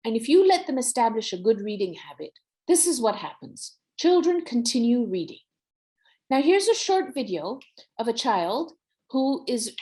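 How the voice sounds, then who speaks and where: Female workshop presenter, Nepali accent, slightly high voice, very varied intonation, encouraging and instructive. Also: darkish tone. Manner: encouraging, instructive, mixes Nepali phrases into English. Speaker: workshop presenter on early reading. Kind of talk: explanatory presentation to parents.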